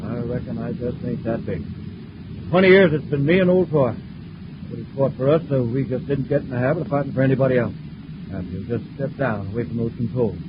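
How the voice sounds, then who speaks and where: A man's voice: medium pitch, fast talker, natural voice. A man playing a plainspoken, stubborn old space outlaw with rustic American speech in a vintage radio serial. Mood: stubborn, folksy. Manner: folksy rustic drawling speech. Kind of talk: 1950s radio serial acting. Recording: muffled noisy old radio recording.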